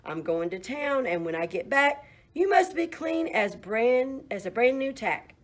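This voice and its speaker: A woman reading a children's picture book aloud, voicing a fussy, bossy farm woman who scolds in a shrill, loud voice. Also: sing-song, a little slow. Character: bossy middle-aged farm woman who loves cleanliness.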